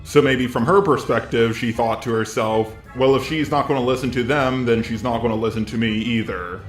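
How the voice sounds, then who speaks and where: A man reads scripted commentary in a measured, conciliatory manner. His voice sits at an average male pitch and sounds roomy and echoing, with faint music underneath. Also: fairly even intonation. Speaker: YouTube commentator.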